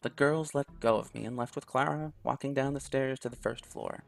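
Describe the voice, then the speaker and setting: Gravelly man reading a story aloud. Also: medium pitch, normal speed. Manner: first-person narration with small restarts. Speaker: let's play host. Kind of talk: reading a visual novel aloud.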